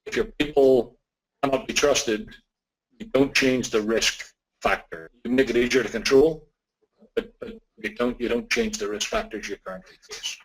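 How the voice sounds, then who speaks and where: A man with an Irish accent answering questions as a technology expert, speaking in a deliberate, measured way with emphatic repetition. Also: mid-range voice, breathy edge, rough recording. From Ireland.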